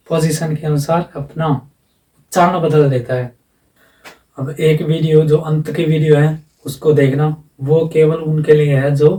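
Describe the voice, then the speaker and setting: A man gives a casual, plain lesson as a voice-over, asking questions and then answering them himself. His voice is mellow and warm. He sits a little back from the mic. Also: a little high, recorded from a distance, rough recording. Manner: plain, repetitive explaining with rhetorical questions. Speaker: English teacher. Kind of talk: casual explanatory lecture. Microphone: voice-over, face not shown.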